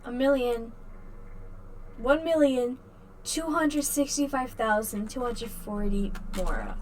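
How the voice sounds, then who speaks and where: A woman streamer chats casually over a game. Her voice is fairly high and she talks slowly, in halting, playful, offhand bits. There is faint noise behind her. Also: animated, low-quality recording. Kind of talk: casual gameplay commentary.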